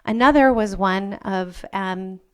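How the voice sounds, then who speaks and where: A woman's voice: mid-range voice, slow pace, smooth. A woman from the United States with an American accent tinged with Israeli sounds, a rabbi speaking personally and thoughtfully on a panel to a live audience.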